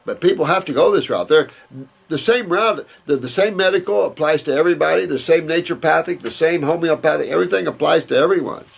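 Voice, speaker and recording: Older male naturopath, gravelly and slightly husky, rambling and emphatic Q&A delivery. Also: a little high, quick pace. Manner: rambling, emphatic, repeats words and restarts. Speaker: naturopath answering viewer questions. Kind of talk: casual Q&A talk, reading and answering letters.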